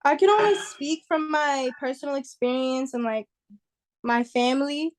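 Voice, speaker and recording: A woman's voice: high voice, moderate pace. A young woman from New York, a singer, talking casually and reflectively as an interview guest.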